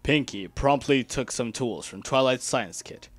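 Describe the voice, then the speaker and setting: A man's voice: medium pitch, measured pace, no echo. A man reading first-person fan fiction aloud as an amateur dramatic narrator.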